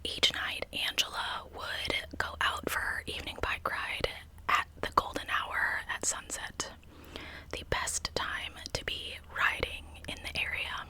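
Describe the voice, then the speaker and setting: A woman hosting an ASMR channel, narrating in a breathy, intimate whisper with casual asides. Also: a little slow, pushed and bright, rough recording. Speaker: host of a true crime ASMR channel.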